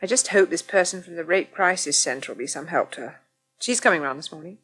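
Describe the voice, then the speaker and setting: An actress playing a concerned English wife in a radio soap, practical, patient and gently reproving. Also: female voice, lowish voice, a little fast, natural voice. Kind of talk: British radio soap acting.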